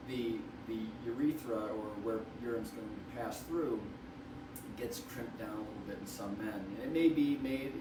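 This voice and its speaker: A man, a radiation oncologist, explaining treatment to patients in a measured way, slightly hoarse and often restarting his phrases. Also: medium pitch. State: hoarse from wildfire smoke. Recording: roomy, from far off, noisy.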